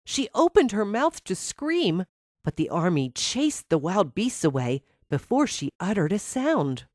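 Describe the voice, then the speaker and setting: A woman's voice: mid-range voice, big pitch swings, measured pace, a little mellow, no echo, studio quality. A woman narrating a scripted fairy tale for children as a storyteller.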